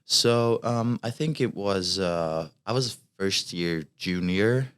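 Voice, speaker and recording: A man's voice: sits low, expressive, slow pace, clear, resonant tone. A young man in his twenties from Hungary with a Hungarian accent, a college fencer talking as a guest, hesitant with many fillers and restarts. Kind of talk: casual interview chat.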